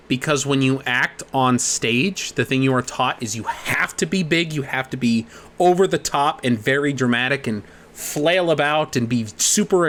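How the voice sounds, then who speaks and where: A man's voice: medium pitch, fairly bright tone. A young man co-hosting a podcast, explaining at length in a casual way, swearing freely. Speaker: podcast co-host.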